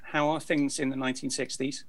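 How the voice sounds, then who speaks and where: A man who hosts a casual group chat, brisk and organizing with dry humour. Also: mid-range voice, little pitch movement. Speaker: host keeping the show on track.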